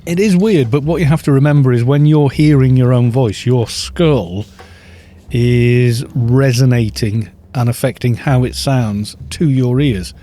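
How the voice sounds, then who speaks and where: A man chatting casually on a game livestream, soft-spoken and rambling, with little chuckles, into a studio microphone at home. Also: mid-range voice, a little slow. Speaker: livestream gamer. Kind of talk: casual chat while gaming, reading chat.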